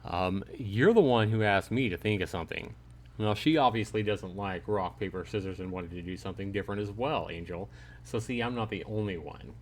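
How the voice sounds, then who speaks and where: Low-pitched man livestreaming a game. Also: a little fast. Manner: chatty, jokey, talks back to chat. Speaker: streamer playing a visual novel. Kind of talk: casual livestream commentary, reading game text aloud.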